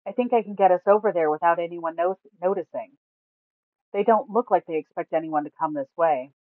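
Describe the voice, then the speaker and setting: A woman's voice: on the low side, a little fast, no room sound, narrow, tinny audio, natural voice. A woman voicing a cautious, practical winged female adventurer in a fantasy story, hesitant and correcting herself. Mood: watchful, cautious.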